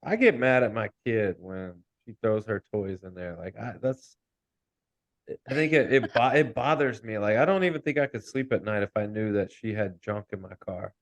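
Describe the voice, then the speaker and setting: Animated, teasing podcast co-host, a man. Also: on the low side, moderate pace. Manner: animated, teasing, stammers at starts. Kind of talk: casual interview chat.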